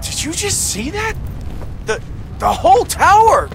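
A man's voice: very high voice, sing-song, unhurried, gravelly, a bit bright, a little hiss or hum, full character voice. A man voicing a cocky, wisecracking young space outlaw hero in a video game, glib and bantering. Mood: alarmed, exasperated. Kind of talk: cinematic video game acting.

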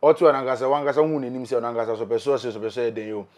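A man's voice: mid-range voice, rapid-fire, a little mellow. A young Ghanaian man hosting a casual interview, playful and bantering, slipping into pidgin. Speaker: interview host. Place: small recording studio indoors.

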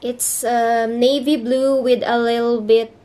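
Young woman, Filipino accent, unhurried, hesitant, chatty, slightly high voice, bedroom vlog on a camera mic. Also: little pitch movement, warm tone. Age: young adult. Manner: searches for English words, hesitant and chatty. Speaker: vlogger. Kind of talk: casual haul vlog. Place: bedroom indoors.

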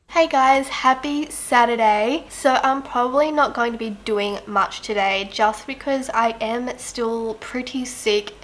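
A woman's voice: fairly high pitch, steady tone, takes it slowly, a bit bright, low-quality recording. A young woman vlogging to camera while sick, her voice nasal and blocked up, rambling with lots of fillers. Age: young adult. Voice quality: nasal, congested. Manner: chatty, rambling, many fillers like "um" and "I mean, like". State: sick with a blocked nose and sore throat. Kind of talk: casual to-camera vlog talk. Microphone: camera close up at arm's length.

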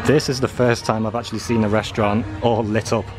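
A man's voice: fairly low pitch, level tone, quick pace, over music. A man giving a casual walking video tour as a travel vlogger, talking as he shows viewers around. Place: walking around a busy cruise ship, indoors and on open decks. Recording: busy, noisy public ship ambience.

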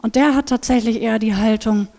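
A woman in her thirties on a headset mic, giving a lively conference talk and acting out inner voices. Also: on the high side, a little fast. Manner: animated, acts out inner voices. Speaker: speaker at a conference. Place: large brick hall with seated audience. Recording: reverberant hall.

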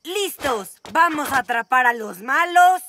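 High-voiced, slow-talking boy superhero. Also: expressive, noisy background. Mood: determined. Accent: Latin American Spanish. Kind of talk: animated children's cartoon dubbing. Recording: music under the voice.

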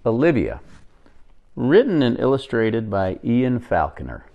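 A man in his forties reading a picture book aloud to young children, expressively and with deliberate pauses. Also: medium pitch, huge pitch swings, muffled recording.

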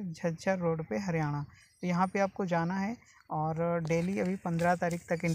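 A man hosting a job-vacancy channel, talking viewers through an opening in a steady, explanatory voice-over that keeps addressing them as friends. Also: high voice, a little hiss or hum. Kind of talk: informal informational voice-over. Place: voice-over over a phone screen recording.

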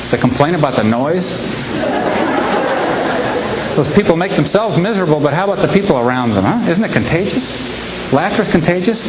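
Man, humor speaker, storytelling pauses, echoey hall, background hiss. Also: fairly high pitch. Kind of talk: live keynote speech with audience laughter. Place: large hall with an audience.

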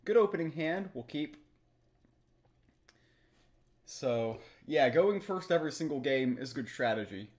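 A man's voice: fairly high pitch, animated, a little slow, pauses often, a little mellow. A young adult man giving live, analytical commentary on a game as he plays, thinking aloud through each move. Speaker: streamer, card game player. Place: small room at home, indoors.

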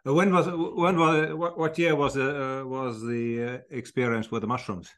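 An Estonian-accented man asks questions over a video call from a room of bookshelves. His pitch sits in a middle range. He stumbles and restarts as he frames each question. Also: fairly even intonation, moderate pace, fairly bright tone. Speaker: interviewer. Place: library room lined with bookshelves. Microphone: video call webcam.

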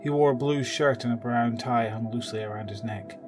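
A man's voice: mid-range voice, fairly quick, over music. A man reading scripted narration for an audio drama.

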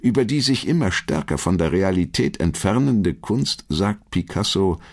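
A man reading scripted documentary narration in a measured, articulate storytelling manner. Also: deep voice, sing-song, darkish tone.

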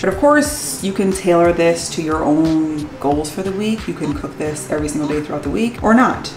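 A young American woman chatting casually to viewers as a vlog host, explaining things as she goes. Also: low voice. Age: young adult. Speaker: fitness vlogger and host. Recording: roomy echo, music behind.

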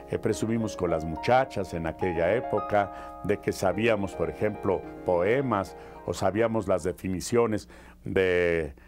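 A man's voice: on the low side, a little mellow. An older man with a Mexican accent explaining his student memories articulately, with lists and rhetorical turns. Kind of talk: explanatory reminiscing in an interview. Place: indoors, seated interview.

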